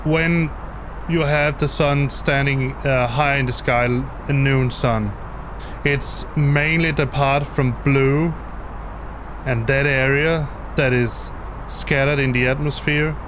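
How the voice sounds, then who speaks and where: A man's voice: mid-range voice, steady tone, slow pace, noisy background. A man whose first language is Danish, explaining something on a live stream and often hesitating, restarting and repeating words. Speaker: flight sim streamer. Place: home basement.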